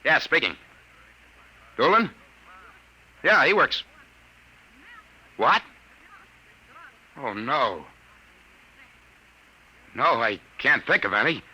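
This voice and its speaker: Tough radio-drama detective chief: clipped, very bright middle-pitched voice, slightly noisy old recording. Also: male voice, sing-song, very slow, long pauses, breathy edge, full character voice. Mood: terse. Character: brusque, hard-boiled male private detective boss. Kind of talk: 1950s radio drama acting.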